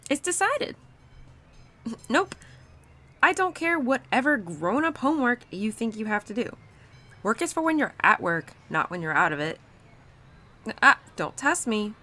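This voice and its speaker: Woman playing an animated, playful autumn spirit girl. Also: fairly high pitch, a little slow, long pauses, heavily acted. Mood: excited, bossy. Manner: expressive, bubbly, giggly, naive about humans. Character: playful, caring, excitable young female spirit of autumn. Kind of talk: intimate girlfriend audio roleplay.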